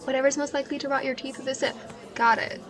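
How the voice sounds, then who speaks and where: A woman's voice: sits high, fairly even intonation, fast talker, crowd noise. A woman playing a casual, teasing younger sister in an audio drama, bickering in a naturalistic, everyday way. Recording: clearly noisy ambience behind the voice.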